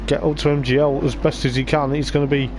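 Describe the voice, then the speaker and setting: A man with a voice going hoarse, giving rambling live race commentary on a stream, with lots of hesitant fillers. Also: fairly high pitch, flat delivery, quick pace, noisy background. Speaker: sim racing league commentator.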